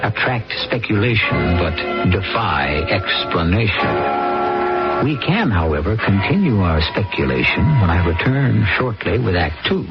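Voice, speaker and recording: A man narrating and hosting a radio drama in a measured, grave manner. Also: fairly low pitch, very animated. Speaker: narrator and host. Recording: dull old radio recording with loud music.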